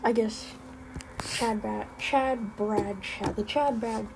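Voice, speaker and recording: A woman's voice: fairly high pitch, steady tone, slow, noisy background. A young woman with a slight lisp ranting casually, rambling with many ums and sounding exasperated. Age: young adult. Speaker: vlogger. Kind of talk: casual spoken rant.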